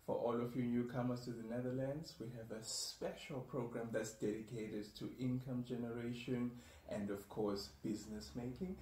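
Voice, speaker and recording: Upbeat chatty host, man, mid-pitched, recorded a bit off-mic in a roomy space. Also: dark tone, slight background noise. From Africa. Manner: upbeat, chatty, laughs often. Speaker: show host. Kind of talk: casual interview hosting. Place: room at a wooden table. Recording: roomy, slightly distant mic.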